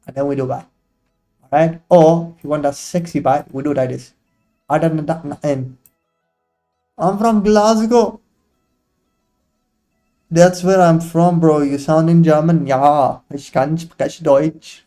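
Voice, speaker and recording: A young man hosts a live trading stream, talking in a slightly raised pitch. He trades banter and slang with his chat, then explains setups in quick, loose bursts. Faint music plays underneath. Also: fairly even intonation, mellow. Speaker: livestream trading host. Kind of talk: casual live-stream chat and chart analysis.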